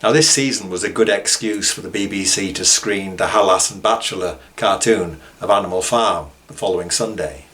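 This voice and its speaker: A man's voice: low-pitched, normal speed, hardly any pauses, pushed and bright. A man presenting informal documentary commentary, mixing scripted points with conversational asides. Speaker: author and presenter.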